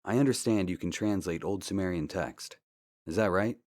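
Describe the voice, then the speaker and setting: An actor playing a polite, earnest amateur investigator in an adventure game, speaking in a courteous, measured way. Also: male voice, low-pitched, darkish tone, lightly acted. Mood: businesslike. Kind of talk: scripted point-and-click game dialogue. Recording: music under the dialogue. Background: background music.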